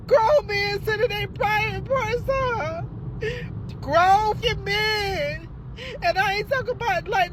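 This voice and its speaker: A woman's voice: very high voice, slight background noise. A mother crying and swearing as she talks, distraught and repeating herself. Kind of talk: emotional vlog rant.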